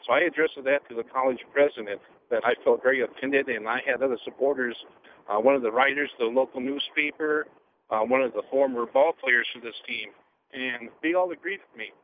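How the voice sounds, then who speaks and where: A man calls in to a radio show and speaks in a measured way, pausing often. His voice is mid-pitched and comes through a narrow phone line with a faint hiss behind it. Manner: measured, with many pauses and fillers. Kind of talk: call-in radio comment.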